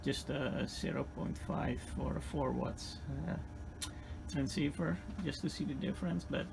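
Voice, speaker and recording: A man with a Dutch accent and a gravelly voice gives an unscripted, halting hands-on equipment demonstration. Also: fairly high pitch. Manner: halting, hesitant phrasing with repeated words. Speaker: hobbyist demonstrator. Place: indoor electronics workbench. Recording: clearly noisy room recording.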